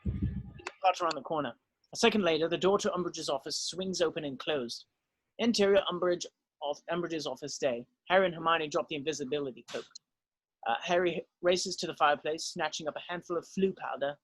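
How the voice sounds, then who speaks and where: A man's voice: on the high side, fairly quick, poor audio. A man narrating stage directions in an amateur screenplay table read.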